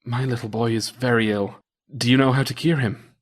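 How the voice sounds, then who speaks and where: A man voicing a cunning, cocky soldier in a folktale, with a brisk, boastful, commanding manner. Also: mid-range voice, steady tone, close to an everyday voice. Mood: firm.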